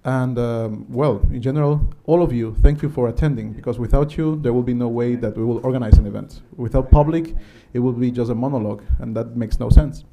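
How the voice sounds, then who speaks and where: A man in his thirties with a Guatemalan Spanish accent speaks as a conference presenter. His voice is very dark and soft-edged, at an ordinary male pitch. He lectures conversationally, pausing often, into a handheld microphone in an auditorium. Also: creaky. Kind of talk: public lecture.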